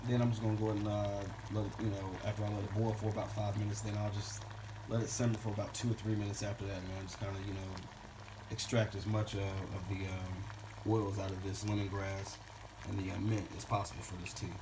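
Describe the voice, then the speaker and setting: A man's voice: lowish voice, flat delivery, fast, noisy background. Laid-back man chatting in his kitchen. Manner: laid-back, rambling, says "man" and "bad boy" a lot. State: slightly congested. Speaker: home cook vlogger. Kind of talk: casual how-to vlog. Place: home kitchen, indoors.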